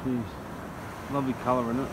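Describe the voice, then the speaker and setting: A man's voice: mid-range voice, little pitch movement, fast. A middle-aged Australian man narrating a casual vlog into a phone outdoors, chatty and cheerful. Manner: chatty, cheerful, cries of yeehaw. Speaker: vlogger. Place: outdoors on a windy beach and in a car. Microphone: phone held at arm's length. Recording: loud wind noise on the phone mic.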